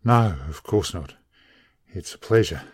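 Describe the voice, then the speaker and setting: Man acting a deep-voiced, cowed henchman. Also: expressive, measured pace, a little mellow, slightly rough, no room sound, clean studio sound, full character voice. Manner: submissive, terse. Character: cowed, obedient male gangster henchman enslaved by madman. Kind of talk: radio play acting.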